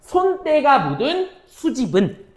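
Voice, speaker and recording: A woman's voice: high-pitched, big pitch swings, slow. A woman teaching exam-prep literature, firing off questions and answering them herself, mixing casual and polite speech. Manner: mixes casual and polite speech, checks understanding often. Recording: roomy echo.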